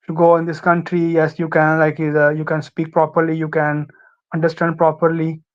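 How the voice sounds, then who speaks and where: A young man from Pakistan with a Pakistani accent, Urdu his first language, giving halting advice as a guest with many fillers and restarts. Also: a little high, completely flat delivery, smooth, dull audio. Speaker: guest, former student. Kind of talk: casual interview advice.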